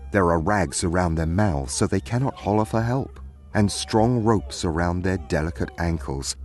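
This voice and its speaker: A man's voice: low voice, darkish tone. A man narrating a scripted fantasy story as its storyteller.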